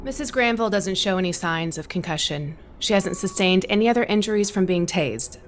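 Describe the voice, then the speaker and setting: An actress playing a calm, reassuring hospital doctor in an audio soap opera, with a professional manner. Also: female voice, on the low side, brisk, slight background noise, natural voice. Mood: calm reassurance.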